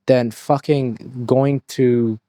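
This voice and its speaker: Young man, dry close sound, mellow tone, rambling, profane. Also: medium pitch, fairly even intonation, dry recording. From Hungary. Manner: rapid, rambling, swears freely, restarts sentences. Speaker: podcast guest. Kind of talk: casual interview talk.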